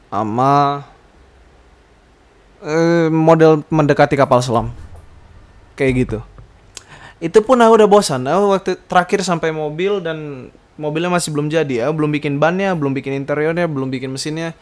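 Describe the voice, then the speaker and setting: A man hosts a casual, rambling solo podcast, hesitantly searching for words and slipping in slang and English. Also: fairly high pitch. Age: 20s. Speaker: podcast host.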